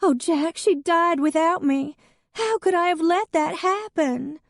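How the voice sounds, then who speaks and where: A woman's voice: very high voice, measured pace, dry recording, clean studio sound, voice pushed up. A woman reading an audiobook, voicing a weepy, clingy young woman who wails dramatically. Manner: sobbing, wailing.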